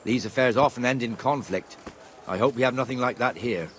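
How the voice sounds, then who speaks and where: An actor playing a blunt, gruff, conversational town guardsman in a video game. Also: medium pitch, brisk, a little hiss or hum, lightly acted. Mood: matter-of-fact. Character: gruff town guardsman, chatty, contemptuous of beggars.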